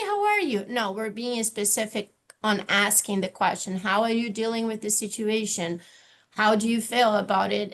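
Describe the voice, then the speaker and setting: A woman from Brazil with a Brazilian Portuguese accent, an office manager talking earnestly on a video call panel, with many fillers and restarts. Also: fairly high pitch. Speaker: office manager in a tech transfer office. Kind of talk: virtual panel discussion.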